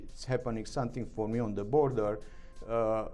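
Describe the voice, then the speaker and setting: A middle-aged man with a Romanian accent, speaking broken English as an interview guest, halting with many fillers. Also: lowish voice, fairly even intonation, mellow, faint music behind. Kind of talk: interview answers.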